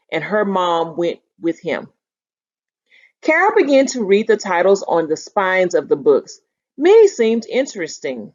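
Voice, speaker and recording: A woman teacher reading a children's novel aloud in an animated storytelling manner, in a dull lo-fi recording. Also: lowish voice. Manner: animated storytelling, putting feeling into dialogue. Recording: dull lo-fi sound.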